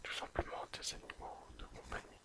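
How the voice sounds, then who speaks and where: A person whispering an ASMR episode recap in a careful reading manner. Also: normal speed. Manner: careful reading, apologises and corrects slips. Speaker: ASMR video creator.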